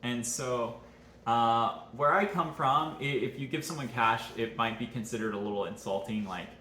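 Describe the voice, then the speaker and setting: A man's voice: medium pitch, moderate pace, pushed and bright. A man from Southern California with a Californian American accent, chatting casually as co-host of a family vlog. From Southern California, United States. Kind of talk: casual chat with partner. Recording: roomy echo, background noise.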